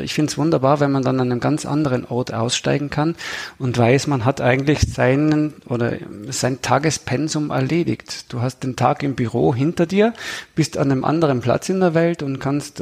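A man speaks as a guest author in an interview. His voice sits at a middle pitch and has a creaky, gravelly edge. He talks in a conversational way. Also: darkish tone. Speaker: thriller author, podcast guest.

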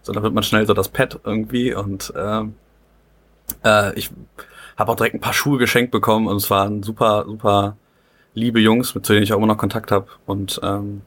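A man's voice: fairly low pitch, level tone, normal speed, fairly bright tone. A young man, a rugby player, chatting about how he started, with frequent fillers. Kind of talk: casual interview answers.

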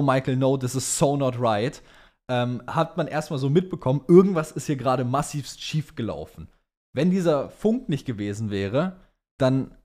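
A man's voice: medium pitch, darkish tone. Young male co-host, mid-range voice, loose and slangy podcast banter. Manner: slangy, crude, colloquial. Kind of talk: casual chat about Formula One.

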